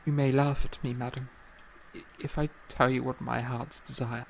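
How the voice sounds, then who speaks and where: An actor playing an earnest, impassioned and self-doubting young composer in a period audio drama, with stammering, effusive, formal old-fashioned speech. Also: male voice, medium pitch, level tone, normal speed, gravelly, slight background noise, natural voice, low-quality recording. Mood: shy, hopeful.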